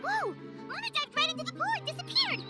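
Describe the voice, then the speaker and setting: A woman voicing a tiny, childlike, excitable sidekick creature in a video game, chatty and blunt with a squeaky voice. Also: fast, music in the background. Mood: excited. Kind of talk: video game cutscene acting.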